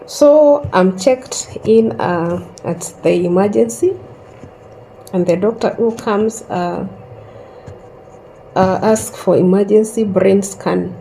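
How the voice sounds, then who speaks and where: Woman in her 30s telling her story real slow, soft warm voice, little hiss behind. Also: medium pitch, gravelly, rough recording. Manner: slow and reflective, with long pauses. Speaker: host sharing a personal story. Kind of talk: casual personal storytelling.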